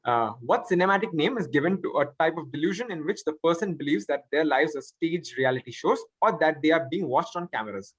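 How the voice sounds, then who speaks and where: A man's voice: fairly high pitch, animated, a little fast, few pauses, husky, dry recording. A man hosting a quiz, reading out questions and confirming answers. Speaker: quiz master. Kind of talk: reading quiz questions aloud, with spoken asides.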